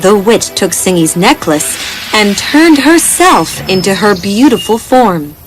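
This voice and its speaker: Slow, expressive woman storyteller over music. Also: mid-range voice. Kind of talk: scripted bedtime story narration. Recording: music behind the voice. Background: background music.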